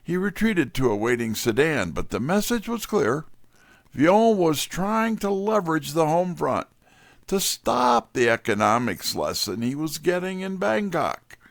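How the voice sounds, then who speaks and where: An actor playing a wry detective's assistant, narrating a scripted mystery in the first person with measured, dry delivery. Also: male voice, on the high side, big pitch swings, vocal fry, dry recording, exaggerated voice. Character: wry male detective's assistant telling the story.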